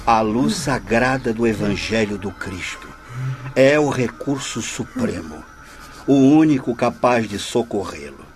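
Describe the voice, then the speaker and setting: A man's voice: medium pitch, lively intonation, a little slow, natural voice. An actor playing a compassionate, solemn spiritist leader in a radio drama, speaking like a fatherly preacher. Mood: solemn reassurance. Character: compassionate, solemn male spiritist leader consoling lost souls. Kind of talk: radio drama acting, prayerful oratory. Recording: music behind the voice.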